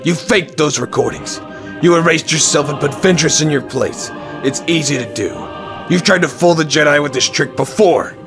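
A man's voice: a little high, moderate pace, over music, close to an everyday voice. A man playing a stubborn, sardonic captive Jedi who talks in a challenging way, in a fan audio drama. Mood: defiant. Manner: challenging, sarcastic.

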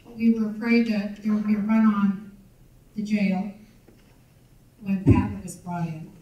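A woman's voice: medium pitch, level tone, unhurried, mellow, lo-fi sound. An older woman in the audience recalling local events in a chatty, rambling way, with many fillers. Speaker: audience member sharing memories. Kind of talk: recollecting at a public meeting. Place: large banquet hall. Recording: echoey hall, distant mic.

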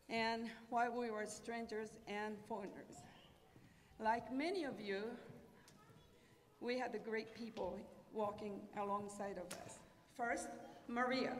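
A middle-aged Japanese woman with a Japanese accent, giving a careful, prepared personal speech at a podium with a handheld microphone, sometimes restarting words. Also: medium pitch. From southern Japan. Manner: careful, halting delivery with restarts. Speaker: guest speaker. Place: large school auditorium stage, at a podium. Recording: echoey auditorium with faint hiss.

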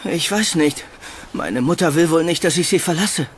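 A man's voice: on the high side, normal speed, a little hiss or hum, natural voice. A man playing a haunted, shy yet charming young man in a horror audio drama, earnest and pleading. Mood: anxious, pleading.

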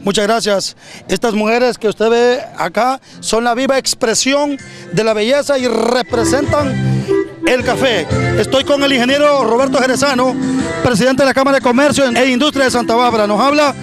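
A man's voice: very high-pitched, normal speed. A middle-aged man, reporting outdoors at a street festival with a handheld mic in an upbeat, announcer-like manner. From Honduras. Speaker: field reporter. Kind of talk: TV news field report. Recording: outdoor report with loud music behind. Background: music.

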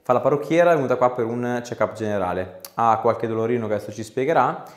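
A man's voice: mid-range voice, fast talker. A young man working as a chiropractor in a small treatment room, putting short questions and instructions to a patient in a casual consultation. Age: young adult.

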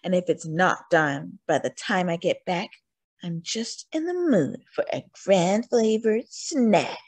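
Woman voicing a gleeful, scheming old wolf, swooping pitch. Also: expressive, takes it slowly, darkish tone. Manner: chuckling, gloating, theatrical.

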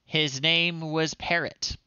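A man in his thirties hosting a casual livestream, talking in an unhurried, amused, halting way. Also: a little high, steady tone, sharp tone, smooth, no echo, dull audio. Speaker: livestream host. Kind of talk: casual livestream commentary, reading children's stories aloud. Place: small home room, indoors.

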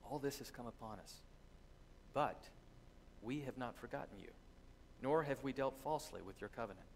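Middle-aged pastor preaching in a church. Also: male voice, mid-range voice, creaky, slight background noise, rough recording. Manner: earnest teaching, thoughtful pauses. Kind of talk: sermon with scripture reading. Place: church sanctuary with congregation. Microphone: distant camera, lectern mic.

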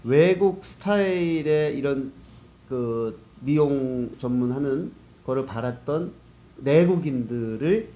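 A man's voice: mid-range voice, lively intonation, slow pace, dark tone. A man giving explanatory, conversational answers as a guest in a business interview. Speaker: guest, business network organizer.

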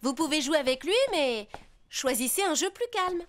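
A woman voicing a gentle, soothing mother bear in a preschool cartoon, tender, playful and reassuring. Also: high voice, expressive, moderate pace. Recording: loud music behind the voice.

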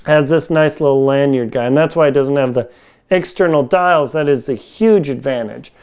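A middle-aged man talks through a product in a casual, hands-on way. His voice is clean and resonant, sitting at an average pitch. The wording is informal and folksy, picked up indoors by a lapel mic. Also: moderate pace, a little mellow, clean tone. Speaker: gear reviewer. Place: home kitchen, indoors.

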